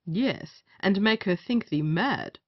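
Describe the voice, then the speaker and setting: A woman's voice: medium pitch, lively intonation, slow, no echo, highs cut off. A woman playing a sardonic male gallant in a period stage comedy, wry and teasing with a companion.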